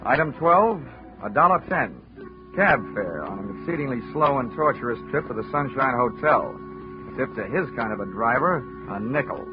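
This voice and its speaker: An actor playing a wisecracking, unflappable male insurance investigator in old-time radio style, with a wry, deadpan, commanding delivery. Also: mid-range voice, moderate pace, close to an everyday voice. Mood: dry narration. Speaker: detective lead and narrator. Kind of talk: old-time radio detective acting, hard-boiled narration. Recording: muffled vintage radio broadcast, music.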